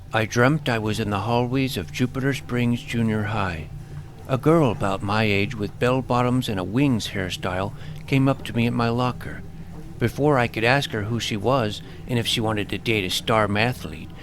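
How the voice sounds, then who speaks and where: A man giving scripted first-person storytelling narration as the narrator of a tale. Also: mid-range voice. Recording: clear background noise, sound effects.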